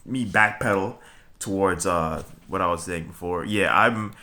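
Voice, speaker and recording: A man's voice: sits low, measured pace, bright, forceful tone. A young man from Brooklyn, talking to viewers in a rambling solo monologue, full of fillers, restarts and a repeated "okay?", with chuckles between points. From Brooklyn, New York. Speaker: vlogger.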